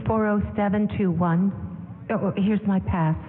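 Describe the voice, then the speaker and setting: A woman's voice: medium pitch, fairly even intonation, unhurried, natural voice. An actress playing a meek, hesitant young woman prisoner in old-time radio style, with subdued brief answers. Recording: phone-like hall broadcast, faint music.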